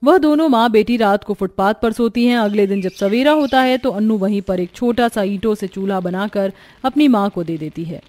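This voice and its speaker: A woman's voice: mid-range voice, normal speed, a little mellow. A woman reading scripted story narration for a cartoon.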